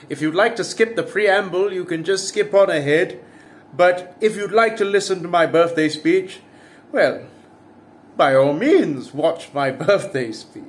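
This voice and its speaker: A man, his voice pitched slightly above the usual for a man. He chats casually to camera as a commentary vlogger. He slips in wry, self-deprecating jokes as he goes. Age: 30s. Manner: wry, self-mocking, jokey asides.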